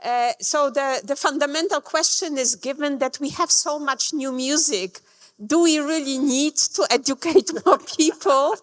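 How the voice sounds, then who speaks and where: A woman chairing an event gives an informal introduction in halting phrases. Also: sits high. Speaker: session chair introducing a speaker. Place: large reverberant room at a live event. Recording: echoey hall with long reverb.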